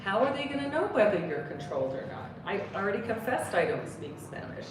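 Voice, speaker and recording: A woman's voice: medium pitch, very animated, a little fast. A woman from Canada, a clinician on a panel, explaining earnestly and asking many rhetorical questions. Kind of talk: panel discussion answer. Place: briefing room. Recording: echoey room, distant mic, background noise.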